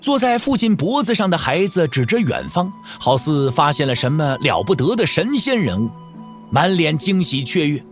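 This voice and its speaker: A man narrating an audio drama from a script in an expressive storytelling manner. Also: on the high side, normal speed, soft background music.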